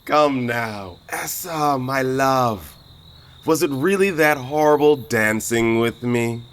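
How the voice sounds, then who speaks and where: Slow, swooping, smug lovestruck suitor. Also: male voice, medium pitch, lively intonation, a bit bright, clear, resonant tone. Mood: wooing. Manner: flirtatious, theatrical, self-assured. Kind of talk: audio drama acting.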